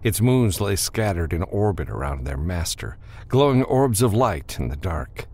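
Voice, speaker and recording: A man narrating a science fiction audiobook in a measured, expressive storytelling style. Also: sits low, slightly rough.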